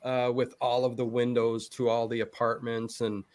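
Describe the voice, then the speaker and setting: A man's voice: medium pitch, completely flat delivery, darkish tone, no echo. A man in a casual interview chat, answering at length and often breaking off to restart his thoughts. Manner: long-winded, halting with many self-interruptions. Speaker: guest, online show creator.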